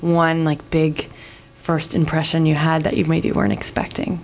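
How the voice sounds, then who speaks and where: A woman with a fairly low voice hosts a casual interview, friendly and quick to laugh. A faint hiss of background noise sits under her voice. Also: monotone, darkish tone. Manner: friendly, laughs easily, guides questions. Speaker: host.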